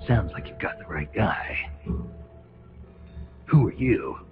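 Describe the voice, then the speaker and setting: Hardened game soldier: low, gruff voice, terse and guarded, muffled sound with loud music. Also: male voice, big pitch swings, slow pace, whispered, full character voice. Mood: wary. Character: gruff, wary male retired special forces soldier. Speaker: voice actor in a game cutscene. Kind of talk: dramatic video game acting.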